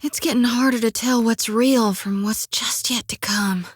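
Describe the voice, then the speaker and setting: A young woman voicing a devout, earnest human priestess in a fantasy game, talking in a folksy rural way and at times sinking to a hushed whisper. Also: on the high side, steady tone, normal speed, breathy edge, no room sound, studio quality. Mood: haunted, hushed. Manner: rural folksy drawl with "reckon" and "ain't". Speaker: companion character in a fantasy role-playing game. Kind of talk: video game voice acting. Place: dry recording booth.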